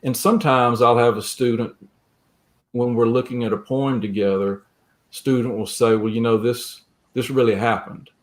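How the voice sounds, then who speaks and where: A man speaking as an interview guest, reflective and measured, with a gentle, earnest manner. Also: medium pitch, steady tone. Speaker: guest, creative writing teacher. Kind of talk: reflective interview talk and poem reading.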